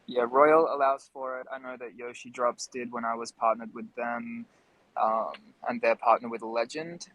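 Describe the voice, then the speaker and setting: A man's voice: medium pitch, fairly even intonation, moderate pace, fairly bright tone, poor audio. Easygoing man moderating a casual chat.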